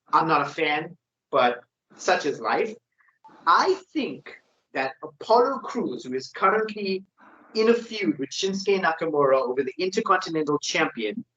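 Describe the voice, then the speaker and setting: A man's voice: on the high side, fairly bright tone. A man co-hosting a wrestling predictions podcast in casual, opinionated chat. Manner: opinionated, ranting. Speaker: podcast co-host. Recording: dull lo-fi sound.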